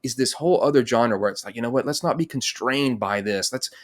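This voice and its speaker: A man's voice: medium pitch, a little fast. A man hosting a casual interview chat, rambling informally and thinking aloud as he goes. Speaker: podcast host.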